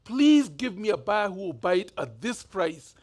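A man's voice: high-pitched, big pitch swings, moderate pace, a little mellow. A man in his fifties with a Kenyan accent, preaching a sermon in a conversational storytelling way on a church stage. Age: 50s. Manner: conversational storytelling, gestures freely. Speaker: bishop preaching.

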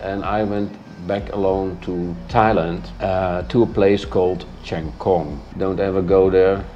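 Lowish voiced guy telling travel stories, acts out the quotes, faint noise behind. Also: fairly even intonation, unhurried, highs cut off. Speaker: expat interviewee. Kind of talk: storytelling.

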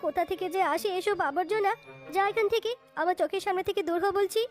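A haughty tigress mother from a children's cartoon, acted by a woman, with an extremely high voice. She scolds with open contempt and sharp threats. Music plays behind her. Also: fast talker. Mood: scornful, angry. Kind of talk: cartoon voice acting.

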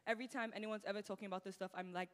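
A woman's voice: medium pitch, fairly even intonation, rapid-fire. Chatty teen girl with a British-tinged Nigerian accent. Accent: British-tinged Nigerian English. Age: teens. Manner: chatty, many "like" fillers. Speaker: student guest. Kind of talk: casual interview on stage. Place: church sanctuary stage. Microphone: handheld mic. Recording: long reverb of a large hall.

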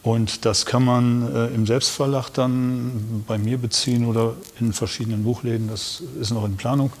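Flat, husky-voiced man in his fifties. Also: medium pitch, normal speed. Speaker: author, guest. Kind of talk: studio interview. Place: TV studio.

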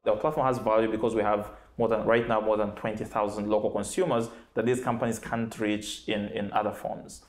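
Young man, founder, low voice, halting, lots of fillers, office, lapel mic. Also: level tone. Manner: hesitant, many restarts and fillers. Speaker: startup founder. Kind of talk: answering investor questions. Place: bright office with plants.

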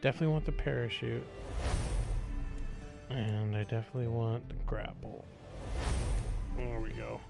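A man's voice: medium pitch, fairly even intonation, moderate pace, vocal fry, pauses often, loud music behind the voice. A man giving casual live gameplay commentary, playfully talking to the game and its characters as he plays. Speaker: game streamer.

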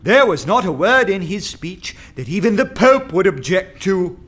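A defiant poet orating in a radio drama. The voice is high for a man and very lively, full of scorn as he rouses his comrades. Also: normal speed. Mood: scornful, rousing. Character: defiant undercover poet rousing anarchists with scornful oratory. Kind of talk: radio play oratory.

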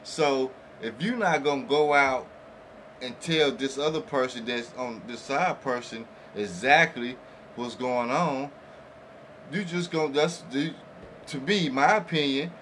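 A man co-hosting a podcast, talking casually and haltingly as he circles around his point. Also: medium pitch, fairly bright tone. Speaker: podcast co-host. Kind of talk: casual group discussion. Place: small makeshift home studio, indoors. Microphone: podcast mics on boom arms. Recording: noticeable background noise.

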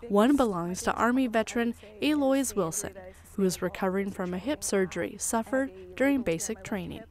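A woman in her forties, a television reporter, delivering a scripted news report in a clear, measured way. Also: mid-range voice, animated, darkish tone. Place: television studio.

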